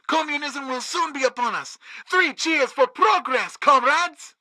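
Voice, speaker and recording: An actor playing a rousing, zealous communist party orator giving declamatory propaganda speeches in a radio parody. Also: male voice, extremely high pitch, a little slow, bright, forceful tone, no echo, clean studio sound, full character voice. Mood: triumphant zeal.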